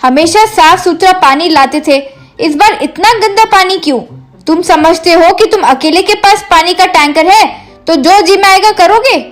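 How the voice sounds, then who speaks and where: A woman voicing an outspoken, excitable village woman in a cartoon story, with a blunt, exclamatory manner. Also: extremely high pitch, moderate pace, faint music behind. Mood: scolding.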